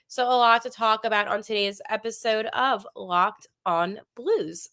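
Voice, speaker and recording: A woman's voice: a little high, measured pace, fairly bright tone. A woman hosting a sports podcast, chatting with opinions in a congested, nasal voice from a cold, with frequent ums and likes. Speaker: podcast host.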